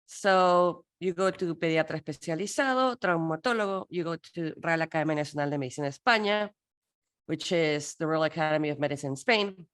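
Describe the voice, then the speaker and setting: Woman from Peru, low voice, lively workshop presenter who acts out exchanges. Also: a little fast, clear, resonant tone. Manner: lively, playful teaching with acted-out dialogue.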